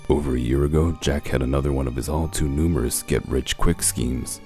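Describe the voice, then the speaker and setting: A man playing a gruff, world-weary detective in a comic dub, with a gravelly voice and a methodical, matter-of-fact way of explaining. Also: very deep voice, fairly even intonation, fairly quick, dark tone, faint music behind. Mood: matter-of-fact, shrewd.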